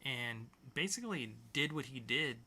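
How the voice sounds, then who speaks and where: A man's voice: medium pitch, animated, normal speed, bright, forceful tone. A man co-hosting a podcast, presenting segments and comic book reviews in a casual, chatty style. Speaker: podcast co-host.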